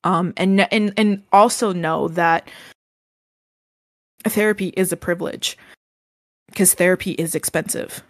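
A woman co-hosting a casual podcast, speaking gently and haltingly with many ums and restarts, her voice described as soothing. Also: on the low side, fairly even intonation, no room sound, studio quality. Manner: gentle, reassuring advice. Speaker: podcast co-host.

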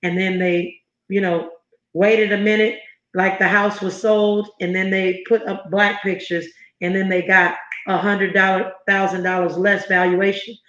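A woman's voice: medium pitch, little pitch movement, a little slow, fairly bright tone. A woman in her fifties from Southern California, a guest telling her story in an earnest, emphatic way. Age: 50s. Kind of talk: interview conversation. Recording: roomy, slightly muffled sound.

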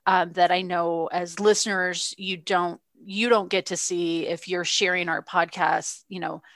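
A woman's voice: lowish voice, a bit bright, no room sound, studio quality. A woman co-hosting a podcast, talking in a reflective, earnest way with frequent restarts. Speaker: podcast co-host. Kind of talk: casual chat.

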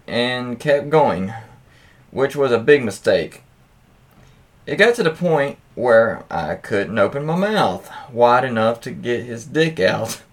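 A man hosting a podcast, reading anecdotes aloud casually with informal, profane asides and interjections. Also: mid-range voice. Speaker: podcast host. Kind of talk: casual read-aloud of anecdotes with offhand commentary.

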